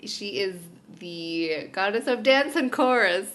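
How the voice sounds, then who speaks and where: A woman's voice: medium pitch, expressive, slow, a bit bright. A woman co-hosting a podcast in casual, conversational chat with her fellow hosts. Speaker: podcast co-host.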